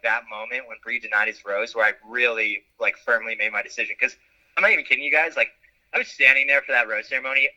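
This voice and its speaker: A man talking as a guest in a casual interview, animated and quick to laugh at himself, with plenty of fillers. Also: mid-range voice, poor audio.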